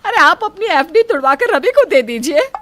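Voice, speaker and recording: A woman's voice: very high voice, big pitch swings, normal speed, very noisy, voice pushed up. A woman voicing a worried, self-sacrificing elderly mother in an emotional, pleading way for a moral story. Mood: alarmed, urging.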